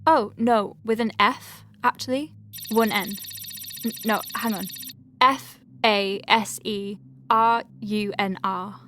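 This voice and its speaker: A woman's voice: medium pitch, steady tone, slow pace, sharp tone. Hesitant, earnest young woman doctor, noisy background. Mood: earnest, dictating. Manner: hesitant, self-correcting. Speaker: doctor dictating patient letters. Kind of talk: naturalistic audio drama acting.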